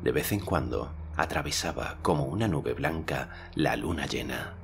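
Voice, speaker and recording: Narrador de voz muy grave. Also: male voice, a bass voice, gravelly, background noise. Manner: slow, calm, deliberate. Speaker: audiobook narrator. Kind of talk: audiobook narration. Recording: music bed under narration.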